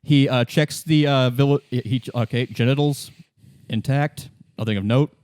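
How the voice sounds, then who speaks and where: A man with a mid-range voice narrates a tabletop game as its game master. He talks casually and haltingly, backing up to rephrase, in a close, dry recording. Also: mid-range voice, darkish tone, dry recording. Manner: halting, restarts sentences often.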